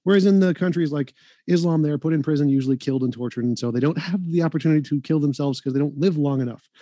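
A man in a heated live debate, talking insistently and fast, often cutting in over the other speaker. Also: fairly high pitch, warm tone, no echo. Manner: insistent, rapid-fire, talks over others.

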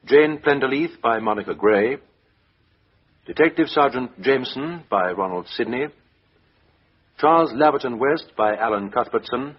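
A man reading the closing credits of a radio play in a measured, formal announcer style. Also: mid-range voice, expressive, husky. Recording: dull lo-fi 1950s radio broadcast.

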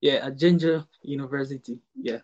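A young man from central Zambia, a podcast guest, speaking in a halting way with many fillers. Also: medium pitch, darkish tone, muffled recording. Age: young adult. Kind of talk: casual chat.

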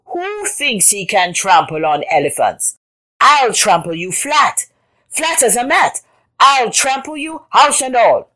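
Woman storyteller as a big female elephant, haughty, forceful, demanding, animated pitch, folktale character. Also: slow, hardly any pauses, exaggerated voice. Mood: scornful. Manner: sneering, demanding.

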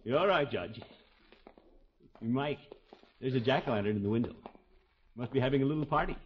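A man's voice: mid-range voice, lively intonation, a little fast, slight background noise, lightly acted. An actor playing a hearty, fatherly middle-aged man in a folksy, expansive 1950s radio comedy style. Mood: kindly. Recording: dull vintage radio recording.

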